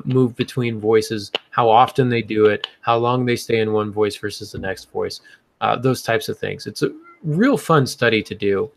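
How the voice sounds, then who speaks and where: A man's voice: mid-range voice, gravelly, no room sound. A man hosting a casual panel discussion, explaining things in an instructive way. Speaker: podcast host.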